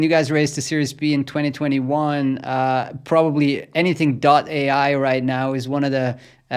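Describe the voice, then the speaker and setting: A man whose first language is Swiss German hosts a podcast interview. He asks his questions loosely, hedging and filling with ums. His pitch sits mid-range. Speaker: podcast host.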